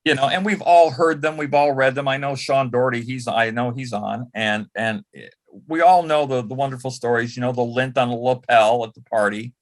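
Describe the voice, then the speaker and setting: An older man telling anecdotes casually as a panel guest, reminiscing with halting restarts and repeated words. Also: medium pitch. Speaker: panel guest, former celebrity assistant.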